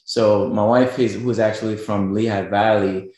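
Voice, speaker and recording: A man's voice: on the low side, steady tone, normal speed, a little mellow, heavy reverb. A middle-aged man from Kenya with a Kenyan accent, a guest in a radio interview, talking casually with many fillers and restarts. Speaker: guest business owner.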